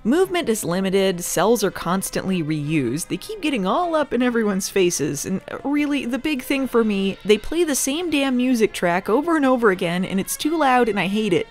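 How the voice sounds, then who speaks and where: Woman, casual review narration, sarcastic, irreverent, animated intonation, light music behind. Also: a little high, moderate pace, hardly any pauses. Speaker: comedy reviewer and host.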